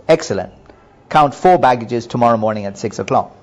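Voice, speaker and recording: A middle-aged man calmly telling his personal faith testimony in an earnest, measured way. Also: mid-range voice. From Hong Kong and Japan. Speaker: businessman giving testimony.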